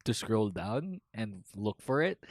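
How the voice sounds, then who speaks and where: Young male podcast host, Filipino accent, laughs a lot, very expressive pitch. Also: takes it slowly, no echo. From Philippines. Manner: animated, laughs often, mixes English with Tagalog. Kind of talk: casual chat.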